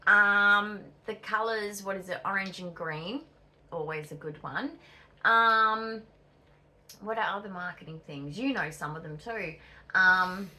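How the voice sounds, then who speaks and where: A woman's voice: medium pitch, measured pace, bright, forceful tone. A woman from Denmark chatting casually in a blunt, opinionated, sweary way, as a vlogger. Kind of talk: casual chat.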